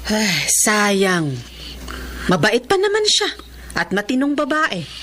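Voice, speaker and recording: A woman acting a concerned middle-aged mother who gently scolds and advises, in a radio-style drama. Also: medium pitch, sing-song, takes it slowly, slight background noise. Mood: consoling. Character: concerned, admonishing mother of a grown son.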